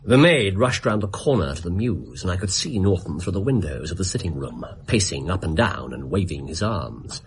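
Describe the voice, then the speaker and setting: An actor playing a brilliant Victorian detective in a radio drama, with an English accent and precise, dramatic storytelling. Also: male voice, low-pitched, big pitch swings, moderate pace, few pauses, slight background noise. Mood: absorbed, dramatic. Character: brilliant theatrical male detective in Victorian London.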